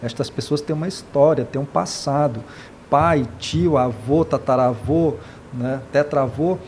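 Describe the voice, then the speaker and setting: An earnest man from Brazil speaks in an interview at a mid pitch. He talks with passion, often stops to restart, and keeps adding tag questions. A faint noise runs under the recording. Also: a little slow, darkish tone. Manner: impassioned, many hesitations and repeated "né".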